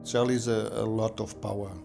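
Guy in an interview, halting, hunting for words, guitar in the back. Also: on the low side, little pitch movement, creaky.

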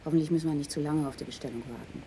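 An actress playing a middle-aged East Berlin wife in a grotesque radio play, by turns mocking and gushingly grateful. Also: female voice, low-pitched, rapid-fire, warm tone, slight background noise, close to an everyday voice. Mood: tender, grateful.

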